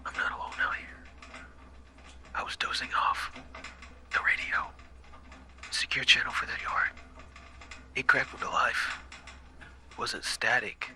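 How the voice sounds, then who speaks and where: Male actor as exhausted young watchman, horror audio drama. Deliberate pace, hard forceful edge, haunted and dramatic. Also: mid-range voice. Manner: halting, dramatic, breaking into whispers. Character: exhausted, frightened young night watchman recording desperate log entries. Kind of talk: first-person horror audio log narration.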